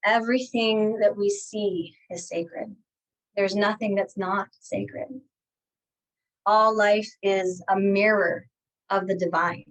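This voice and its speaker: A woman guest speaker giving a guided spiritual talk in a gentle, reflective manner, repeating key phrases. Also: medium pitch, fairly even intonation, takes it slowly, poor audio.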